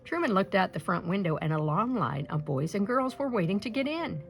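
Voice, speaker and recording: Female picture-book reader, expressive and deliberate, with a lively pitch range over background music. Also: vocal fry. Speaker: storybook reader. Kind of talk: scripted children's picture-book read-aloud.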